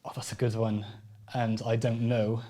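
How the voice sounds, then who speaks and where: A man's voice: medium pitch, little pitch movement, moderate pace, warm tone. A man who is a guest on a podcast, answering quiz questions in an easygoing, musing way. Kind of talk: casual podcast conversation.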